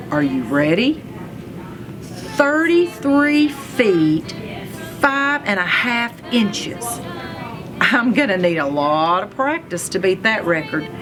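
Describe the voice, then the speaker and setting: A woman reading a children's chapter book aloud, telling a first-person story with expressive, deliberate emphasis. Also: medium pitch. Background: music.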